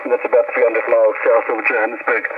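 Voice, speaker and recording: A man's voice: medium pitch, little pitch movement, quick pace. A South African man making an amateur radio contact, heard over a shortwave link. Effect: received over shortwave radio. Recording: noisy, muffled, distant shortwave radio signal.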